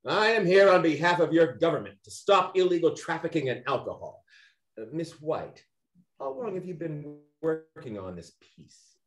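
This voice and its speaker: A performer playing a gruff, suspicious, officious male federal agent in an amateur 1920s period play. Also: medium pitch, very animated, moderate pace, lightly acted. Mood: impatient. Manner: brusque, officious.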